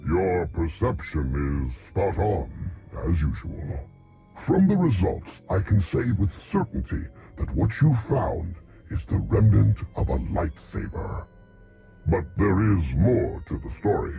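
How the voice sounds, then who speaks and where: A man voicing a fussy, curious scientist in a game, husky and gravelly, with formal, erudite wording. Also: low voice, big pitch swings, slow, dark tone, soft background music, exaggerated voice. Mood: pleased, explaining. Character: scholarly male scientist, curious, fussy, admiring of knowledge. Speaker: doctor and researcher. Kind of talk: video game cutscene acting.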